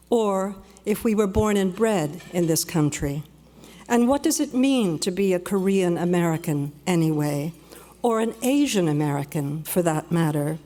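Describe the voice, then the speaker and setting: A woman born in Peru giving a measured, reflective prepared speech at a luncheon, slowing down for emphasis. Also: on the low side, warm tone. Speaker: guest speaker. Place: banquet hall. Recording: long reverb of a large hall.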